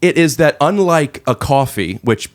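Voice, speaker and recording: A man's voice: fairly high pitch, lively intonation, measured pace. A man hosting a casual podcast chat, playful and prone to running jokes and rambling tangents. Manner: playful, jumps into mock bits and tangents. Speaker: podcast host.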